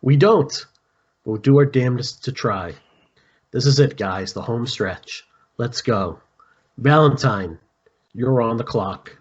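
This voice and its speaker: Military commander, male reader: hard, pragmatic, husky, clipped orders, lo-fi sound. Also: mid-range voice, takes it slowly, long pauses. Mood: curt, commanding. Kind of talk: amateur screenplay table read.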